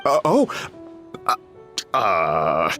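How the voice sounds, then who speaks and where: A man's voice: low-pitched, wildly swooping pitch, very slow, bright, forceful tone, faint music behind, exaggerated voice. A man voicing a nervous, fawning young festival food vendor in a video game, flustered, stammering and deferential.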